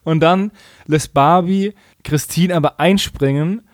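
A man's voice: on the high side, a little slow, no room sound, clean studio sound. A man co-hosting a casual comedic recap podcast, slangy and mockingly acting out quoted dialogue. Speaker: podcast co-host.

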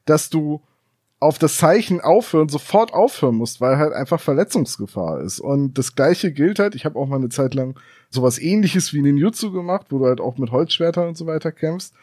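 Fairly high-voiced man, explaining casually. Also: expressive, normal speed, darkish tone, dry recording, studio quality. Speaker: podcast co-host. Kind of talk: casual discussion.

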